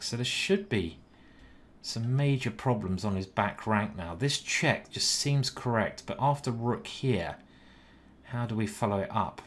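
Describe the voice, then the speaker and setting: A young British man streaming live, chatting casually and thinking aloud about his chess moves. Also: medium pitch.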